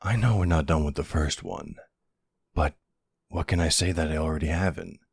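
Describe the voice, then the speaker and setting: Guy doing a flirty mafia boss bf, asmr roleplay, low husky voice, teasing n cocky. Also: normal speed, no room sound, exaggerated voice. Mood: teasing, fond.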